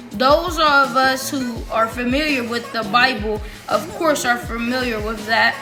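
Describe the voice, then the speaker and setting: A woman reads a prepared speech aloud at a slow pace. Her voice sits high, and her delivery is deliberate and halting. Music plays clearly behind her.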